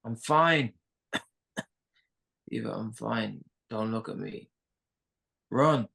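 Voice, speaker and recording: A man acting an injured, urgent man in a live screenplay table read, with a raspy, gravelly voice. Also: on the low side, drawn-out words, bright, forceful tone, full character voice. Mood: strained, insistent. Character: injured, breathless man urging his companion onward.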